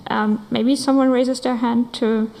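A woman moderating questions at a conference session in a meeting room, upbeat and brisk. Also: on the high side, fairly even intonation, gravelly, warm tone, big-room sound.

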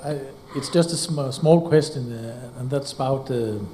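Hesitant man, mellow voice, asking a question. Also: medium pitch, normal speed, slight background noise. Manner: hesitant, searching for words. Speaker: audience member.

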